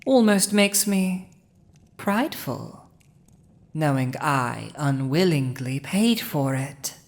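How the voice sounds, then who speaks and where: Villainous dragon usurper, played by a woman: slightly low female voice, drawn-out delivery, haughty, gloating, possessive, for fantasy audio roleplay. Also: lively intonation, full character voice. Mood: gloating, admiring. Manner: slow, imperious, teasing, with lingering pauses. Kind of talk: dramatic roleplay audio addressed to the listener.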